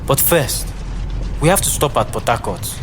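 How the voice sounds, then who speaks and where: Man playing bossy mystic male warrior, resolute, terse, mid pitch, audio drama, music behind. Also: animated, normal speed, close to an everyday voice. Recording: music under the voice.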